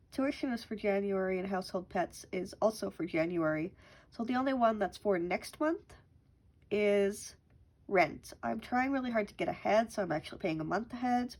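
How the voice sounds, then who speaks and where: A woman's voice: mid-range voice, darkish tone. Sick young woman chatting. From Canada. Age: young adult. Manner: chatty, counts money aloud. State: sick with a cough. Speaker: budgeting channel host. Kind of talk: casual chat while counting cash. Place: at home.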